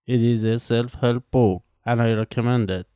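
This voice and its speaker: Careful, mellow man. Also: mid-range voice, steady tone, clear, resonant tone, dry recording, studio quality. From Iran. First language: Farsi. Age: 20s. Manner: slow, careful, evenly paced delivery with pauses between answers. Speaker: language teacher. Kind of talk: reading scripted practice answers.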